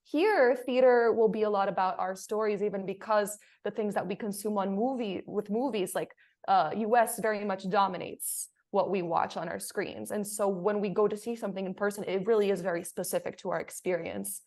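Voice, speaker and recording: A woman's voice: medium pitch, a little fast, a little mellow. Woman reflecting aloud in a panel chat. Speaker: panelist, theatre artist. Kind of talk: casual panel discussion.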